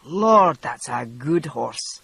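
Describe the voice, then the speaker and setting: A narrator doing a gruff, gentle Scottish man. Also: expressive, slow, full character voice. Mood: quiet, gruff. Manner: low, quiet, matter-of-fact. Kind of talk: audiobook character voice.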